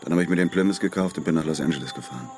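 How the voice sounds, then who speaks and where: An actor playing a cool, laconic war veteran in his thirties, terse and deadpan, in a radio drama. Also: rumbling low, racing, soft background music. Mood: dry, laconic. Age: 30s.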